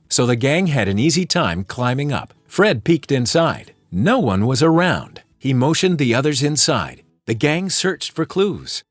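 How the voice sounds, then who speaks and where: A man's voice: medium pitch, dry recording. A man narrating a children's storybook in a dramatic read-aloud style.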